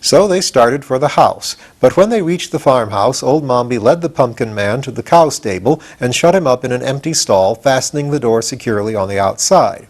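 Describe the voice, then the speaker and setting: An older man, in his sixties, narrates a storybook. His pitch is average for a man. The reading is expressive, in the style of an audiobook. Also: normal speed, few pauses. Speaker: audiobook reader.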